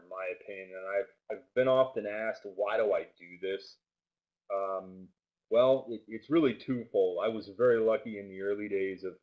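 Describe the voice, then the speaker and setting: A man presenting a live online trading webinar in a loose, casual way, with many fillers and restarts, speaking with a loud voice into a microphone set well back. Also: fairly low pitch, highs cut off. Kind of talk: casual live webinar talk with screen share. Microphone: desk microphone set far back.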